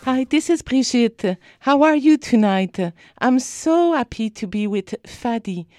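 A woman hosting a radio interview for children, with halting, hesitant phrasing. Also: fairly high pitch, animated, a little mellow, soft background music. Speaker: radio show host.

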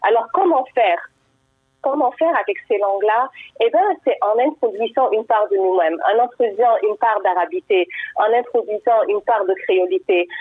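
A woman author with as her talks reflectively, pausing often to search for words. Her voice is a little husky and sits somewhat high. It comes through a thin, tinny telephone line with no room echo. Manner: thoughtful, reflective, with frequent hesitations. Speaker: guest author. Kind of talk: conversational interview answer.

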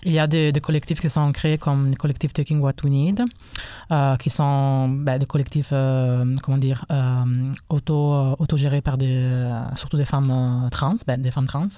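A man's voice: mid-range voice, flat delivery, moderate pace, dark tone, smooth, no room sound, studio quality. A young man, chatting casually on radio with frequent hesitations and fillers. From Italy. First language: Italian. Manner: hesitant, many fillers, mixes in English phrases. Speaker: guest co-host.

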